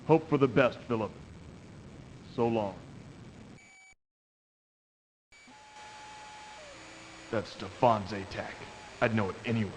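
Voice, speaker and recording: A man's voice: normal speed, a little mellow, close to an everyday voice. Man playing a stern, commanding emperor at war. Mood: grave, resolute. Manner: stern, declamatory. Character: proud commanding emperor at war with his brother. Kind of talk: video game cutscene acting. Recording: noisy 1990s CD game audio, rumble.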